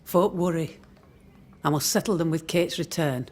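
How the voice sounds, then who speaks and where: A woman's voice: low voice, moderate pace, darkish tone. Stern woman settlement leader; curt, resentful, commanding, for a colonial game drama. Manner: curt, commanding, archaic phrasing.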